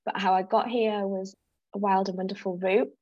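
A woman's voice: mid-range voice, steady tone, moderate pace, mellow, no room sound, muffled recording. A woman in her thirties, raised in South Africa and North East England, chatting as a podcast guest with frequent restarts. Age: 30s. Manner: chatty, restarts often, laughs easily. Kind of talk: casual interview chat.

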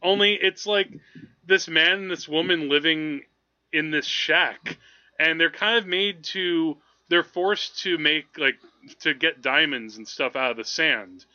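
A man with a very bright, sharp and slightly high voice talks through films in casual podcast style. He rambles and halts, working out his thoughts as he goes. The audio is a little dull and lo-fi. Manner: rambling, halting, thinking aloud. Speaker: film podcast host. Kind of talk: casual movie discussion.

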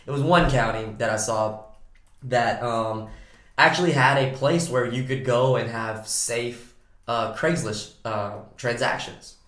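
A man hosting a podcast, hoarse and raspy from a cold, talking in an upbeat, joking way. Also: mid-range voice, unhurried, a bit bright. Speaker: podcast host. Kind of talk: casual podcast chat. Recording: echoey room.

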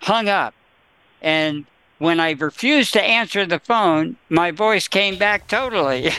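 Bright crisp rambling male storyteller. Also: high-pitched, slow pace, dry recording. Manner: rambling anecdotal talker, laughs at own stories. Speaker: studio guest. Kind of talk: casual storytelling chat.

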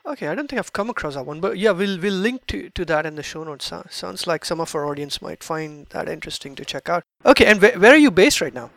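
Halting high-voiced male podcast host. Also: animated, vocal fry, a bit bright, no room sound. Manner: halting, restarts questions often. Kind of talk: casual interview.

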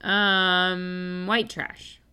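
A woman's voice: lowish voice, little pitch movement, drawn-out words, pushed and bright, clear, resonant tone. A woman in her twenties co-hosting a podcast while she has a cold, chatting casually and teasing dryly. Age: 20s. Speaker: podcast co-host. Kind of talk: casual chat.

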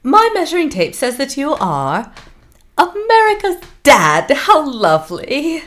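A woman voicing a brisk, whimsical nanny in a comedy sketch. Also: sits high, huge pitch swings, fairly bright tone, full character voice. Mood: prim.

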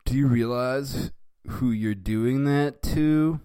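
A man's voice: medium pitch, very animated, slow, clear, resonant tone, dry recording, voice pushed up. A man voicing a boy bully who threatens a classmate for lunch money. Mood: threatening.

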